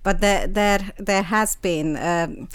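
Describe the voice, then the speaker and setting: A woman's voice: mid-range voice, slow pace, smooth, dry recording, studio quality. A woman in her forties from Transylvania, a native Hungarian speaker, talking reflectively as an interview guest, hesitant and often repeating phrases. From Transylvania, Romania. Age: 40s.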